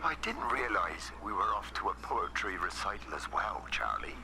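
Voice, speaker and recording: An actor playing a gruff, stubborn, serious Englishman in a naturalistic video game drama. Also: fairly low pitch, big pitch swings, moderate pace, noisy background. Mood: grumpy, earnest.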